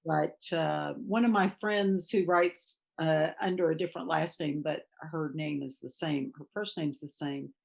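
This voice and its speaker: A woman's voice: low-pitched, steady tone, a little slow, gravelly, hardly any pauses. A woman who grew up in Thailand, a guest author chatting casually in an interview and laughing as she tells anecdotes.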